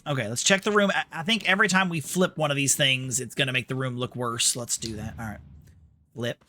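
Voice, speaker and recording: A man's voice: medium pitch, brisk, bright, forceful tone. A man from New York with an American accent giving casual, sarcastic, sweary gameplay commentary. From New York, United States. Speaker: game streamer.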